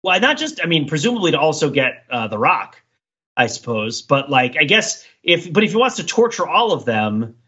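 A man co-hosting a podcast in casual chat, riffing excitedly and searching for words as he goes. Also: on the high side, moderate pace, slightly rough. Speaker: podcast co-host. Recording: slightly dull, lo-fi audio.

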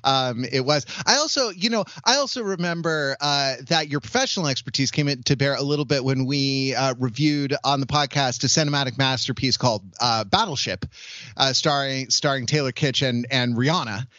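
A man hosting a podcast in casual conversation, wordy and halting, often chuckling as he talks. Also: mid-range voice, sharp tone, no echo, lo-fi sound. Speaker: podcast host.

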